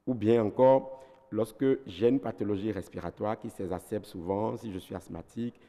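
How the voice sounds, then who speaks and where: A man's voice: lowish voice, moderate pace, dark tone, in a large hall. A man with a Beninese French accent, a doctor giving health advice on a TV talk show, methodical and didactic, listing his points one by one. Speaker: ENT doctor guest.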